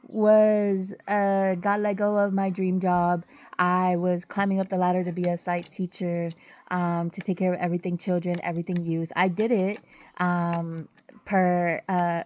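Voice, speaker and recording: A woman recovering from a cold, sniffly, telling travel testimonies in a halting, casual way with many fillers and self-corrections. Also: fairly low pitch, darkish tone, slight background noise. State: getting over a cold, sniffling. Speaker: vlogger sharing travel testimonies.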